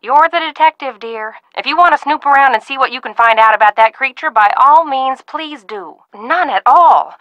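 Game role: folksy, talkative ranch aunt, motherly and fussy, lively melody, dry sound. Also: high-pitched, moderate pace, hardly any pauses, dry recording, muffled recording. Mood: chatty. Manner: folksy, talkative, fond scolding. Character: fussing, chatty, motherly ranch-owner aunt. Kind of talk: video game voice acting, phone conversation.

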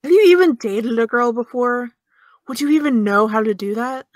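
A woman's voice: on the high side, animated, normal speed, no room sound, studio quality, close to an everyday voice. A young actress playing a sarcastic young woman who teases and needles in an audio drama. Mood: mocking. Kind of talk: audio drama dialogue of a video call.

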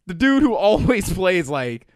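A man's voice: high-pitched, very animated, a little slow, clear, resonant tone. A man chatting casually as a panelist on a podcast, talking into a podcast mic. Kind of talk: casual discussion.

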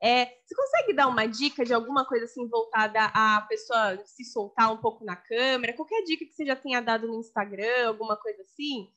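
A woman's voice: fairly high pitch, a little fast, few pauses, lo-fi sound. A woman in her thirties hosting a podcast over a video call, chatting expressively and asking her guest questions. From Brazil. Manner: friendly, expressive, asks long questions. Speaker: podcast host. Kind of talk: casual video-call interview. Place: home room with bookshelves. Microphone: large studio mic in frame.

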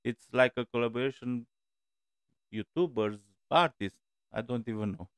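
A man's voice: medium pitch, normal speed, smooth, no echo. A man in his forties hosting a music reaction video, talking casually and hesitantly with many fillers, from a small room on a headset mic.

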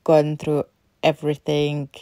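A woman's voice: deep voice, completely flat delivery, unhurried, warm tone, dry recording, clean studio sound. A woman in her thirties from the UK, a mum of three, chatting candidly in a home vlog voice-over about her worries and chores. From United Kingdom. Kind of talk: casual voice-over narration.

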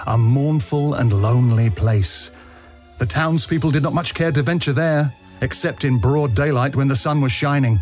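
A man narrates an audio drama in a dramatic storytelling style. His voice sits at an average pitch, with some music behind it. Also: darkish tone.

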